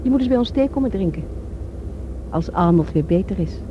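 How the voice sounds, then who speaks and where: A woman's voice: medium pitch, expressive, a little fast, natural voice. An actress playing a worried, reproachful woman in a radio drama, insistent in manner, on a dull, noisy old recording. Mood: cool, polite. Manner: indignant, insistent. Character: reproachful, worried woman defending a troubled man.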